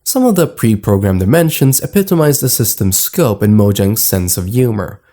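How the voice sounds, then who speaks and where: A man's voice: fairly low pitch, lively intonation, moderate pace, warm tone. A man reading scripted narration as the narrator of a gaming explainer video.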